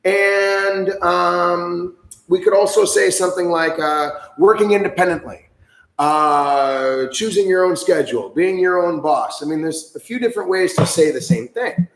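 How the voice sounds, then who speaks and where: A fairly high-voiced man from the United States hosts a casual livestream with eager, chatty energy. He fills his talk with ums and restarts. The sound is roomy and echoey. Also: unhurried. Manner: enthusiastic, chatty, many fillers. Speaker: livestream host.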